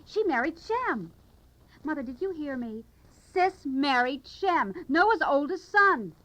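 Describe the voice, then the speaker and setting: An actress playing an eager, curious younger sister in a Bible drama. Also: female voice, high-pitched, very animated, takes it slowly, bright, forceful tone, full character voice. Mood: excited. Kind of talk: dramatized Bible story acting.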